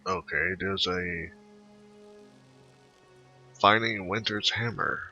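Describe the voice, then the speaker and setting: A man gives casual commentary on his game and reads dialogue choices aloud. His voice is low and very bright, his delivery halting, as if muttering to himself, with faint music behind. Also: highs cut off. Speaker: gamer recording a playthrough.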